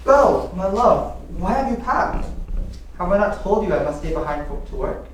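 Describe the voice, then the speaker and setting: A woman's voice: medium pitch, lively intonation, moderate pace, natural voice. A woman playing a devoted, puzzled young man in love in a radio play. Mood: worried. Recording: distant, very echoey room, faint noise.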